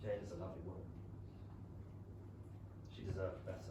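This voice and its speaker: Actor as proud wounded gentleman, very echoey theatre. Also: sits low, flat delivery, lightly acted. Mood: bitter, wounded. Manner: formal, measured, eloquent theatrical delivery. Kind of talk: staged reading of a period romantic drama. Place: small London theatre stage, filmed. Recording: echoey theatre, distant mic, noisy room tone.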